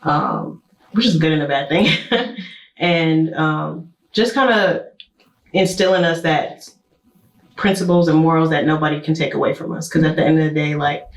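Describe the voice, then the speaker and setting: A woman's voice: sits low, normal speed. Woman in her twenties, echoey room. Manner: reflective, with frequent "like" and "you know". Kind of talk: casual group discussion.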